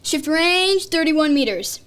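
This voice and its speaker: A woman's voice: high-pitched, animated, slow pace, fairly bright tone, exaggerated voice. A woman playing an artillery officer in a radio play, barking curt firing orders. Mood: commanding. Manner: curt, barked commands.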